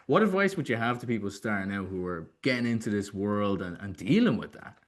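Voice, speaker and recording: A man's voice: lowish voice, big pitch swings, brisk. A man hosting an interview show, chatting casually in an effusive, informal way.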